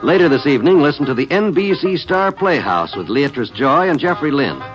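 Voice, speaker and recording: A man's voice: fairly high pitch, lively intonation, fairly quick. An American man announcing in a formal, dramatic 1950s network radio style. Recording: dull vintage radio recording, loud music.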